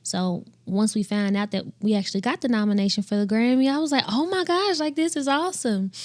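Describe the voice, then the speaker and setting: A young woman in her twenties, a gospel singer with a high voice, telling her story in an animated interview. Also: a little fast, no room sound, studio quality. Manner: animated, laughs often, acts out quotes in a higher voice. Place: podcast studio set with couches. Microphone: boom mic on a stand.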